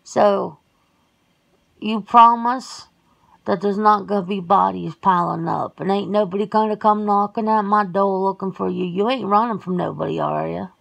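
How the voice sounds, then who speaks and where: Woman acting a folksy, gravelly werewolf sister. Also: mid-range voice, normal speed, highs cut off. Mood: wary, folksy. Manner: folksy, casual, colloquial. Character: plain-spoken, folksy, loyal werewolf sister, wary homebody. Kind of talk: ASMR roleplay acting.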